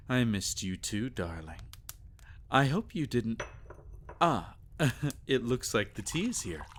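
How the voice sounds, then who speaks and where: A man playing a teasing, doting vampire lord in an intimate ASMR romance roleplay, with soft chuckles and sighs. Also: medium pitch, slight background noise. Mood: tender, content.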